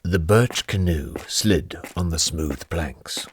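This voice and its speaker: Male reader, scripted test sentences, slow and measured, reverberant recording with some noise. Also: sits low. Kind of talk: flat read-out of test sentences.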